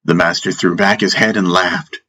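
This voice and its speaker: Male audiobook narrator, very low pitch, unhurried, slightly husky, fantasy storytelling, music bed. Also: steady tone, sharp tone. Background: background music.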